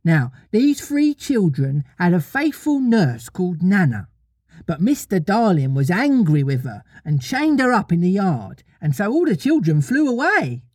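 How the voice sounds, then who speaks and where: Storybook girl , put on by a narrator: motherly, patient, gently bossy, lilting and slightly husky. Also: mid-range voice, very animated, a little slow, dry recording, studio quality, voice pushed up. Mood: patient, insistent.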